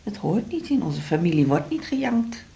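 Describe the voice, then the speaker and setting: A woman's voice: mid-range voice, sing-song, moderate pace, dark tone. An actress playing an anxious but proud older woman with a heart condition in a radio drama, frank and motherly, with a Netherlands Dutch accent. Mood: wry, resigned.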